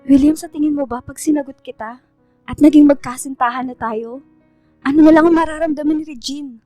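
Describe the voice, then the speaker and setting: An actress playing a feisty, sharp-tongued young provincial woman in a radio drama, sarcastic and indignant. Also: high-pitched, fairly even intonation, fairly quick, no room sound, soft background music, close to an everyday voice. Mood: wounded, wary.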